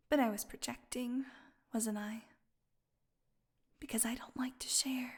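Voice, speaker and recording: A woman playing a starving, captive vampire in an audio roleplay, sardonic and proud but desperate, with halting, breathy speech that often falls to a whisper. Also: fairly high pitch, a little mellow. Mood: bitter, wry. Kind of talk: intimate dramatic audio roleplay.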